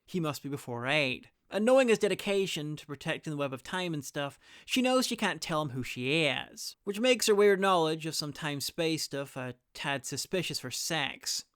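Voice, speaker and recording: A man's voice: on the high side, animated, moderate pace, hardly any pauses, a bit bright, smooth. A man presenting a scripted guide, narrating with dry, opinionated asides. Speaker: video presenter and reviewer.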